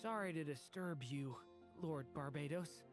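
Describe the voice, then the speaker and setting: A woman's voice: low voice, measured pace, over music. A woman voicing a shy, polite young dragon in human form, hesitant, in a video game. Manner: hesitant, soft-spoken, polite. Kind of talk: English video game voice acting.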